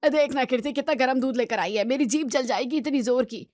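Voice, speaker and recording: A woman as a grumbling, high-voiced old father-in-law. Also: brisk, a little mellow, no room sound, studio quality, lightly acted. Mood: irritated. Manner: grumbling, accusatory. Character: irritable, suspicious elderly father-in-law. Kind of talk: Hindi family drama voice acting.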